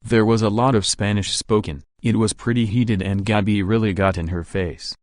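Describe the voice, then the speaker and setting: Man voicing a cocky, offhand young man, very low-pitched. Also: fairly even intonation, moderate pace, no echo, studio quality, natural voice. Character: cocky, casual young man, rich heir, sometimes evasive. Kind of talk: visual novel voice acting, scripted dialogue.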